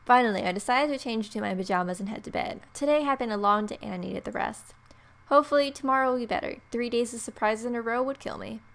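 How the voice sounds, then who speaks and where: A woman's voice: fairly high pitch, fast talker, creaky. A woman hosting a let's play, reading game narration expressively and adding playful, wry casual asides. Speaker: gamer, video host.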